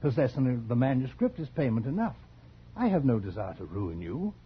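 An actor in a 1940s radio drama playing a genteel, scholarly collector who is sly and quietly menacing, with polite, precise, insinuating speech. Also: male voice, medium pitch, sing-song, a little fast, close to an everyday voice. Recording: dull, slightly noisy 1940s radio recording.

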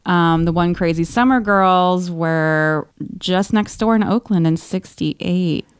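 A woman's voice: lowish voice, slow, smooth, dry recording, studio quality. A woman co-hosting a podcast in a casual, chatty book club style, talking and reading aloud from listener letters. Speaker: podcast co-host.

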